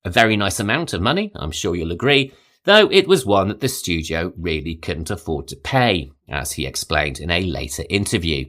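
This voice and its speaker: A man hosting a podcast, reading a scripted film history in a measured, storytelling way. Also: low-pitched, big pitch swings, fairly bright tone. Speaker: podcast host. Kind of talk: scripted narration.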